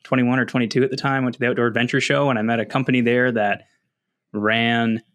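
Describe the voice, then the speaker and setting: A Canadian man with a middling-pitched voice talks in a casual, animated way. He tells stories like a relaxed guest, with a self-deprecating streak and plenty of "like" sprinkled through. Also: fast. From London, Ontario, Canada. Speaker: podcast guest, wildlife photographer and content creator. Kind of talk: casual personal storytelling.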